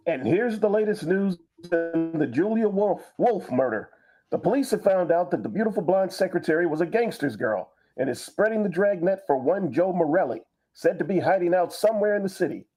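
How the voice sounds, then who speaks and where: A man's voice: high voice, lively intonation, a little fast, dark tone, soft background music, low-quality recording. A man playing a 1930s radio news reporter reading a formal bulletin. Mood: urgent, formal. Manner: formal, declarative.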